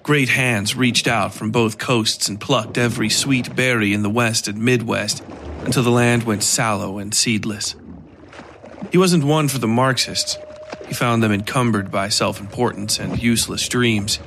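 A man reading scripted dark fiction as a narrator. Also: on the low side, a little slow, noisy background.

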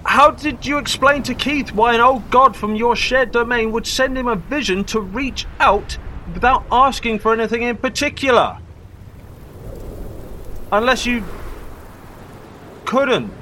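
A suspicious, worried friend in an audio drama, acted by a man, speaks in a very high male voice with a bright, forceful edge. He probes and accuses pointedly. Light ambient noise sits behind him. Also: a little slow, long pauses, heavily acted. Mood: skeptical, probing. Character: Colin, suspicious, worried male old friend confronting a companion.

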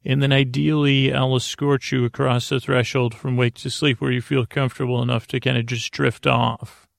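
Male sleep podcast host: deeply creaky, gravelly voice, halting and whimsically rambling, recorded dry. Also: level tone, no echo. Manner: meandering, halting, full of self-interruptions and silly asides. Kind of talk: rambling, whimsical bedtime monologue.

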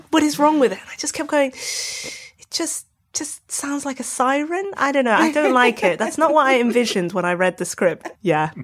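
A middle-aged woman chatting casually as a podcast guest who produces and directs. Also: fairly high pitch. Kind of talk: casual chat.

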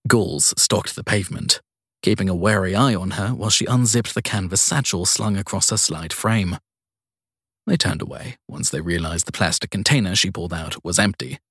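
Low-voiced male audiobook narrator. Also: moderate pace, a bit bright, husky, no echo, clean studio sound. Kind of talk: scripted fiction narration.

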